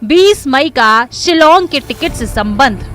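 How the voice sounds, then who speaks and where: A woman's voice: high voice, animated, slow, fairly bright tone, faint music behind. A woman narrating a scripted crime news report in a dramatic, emphatic manner.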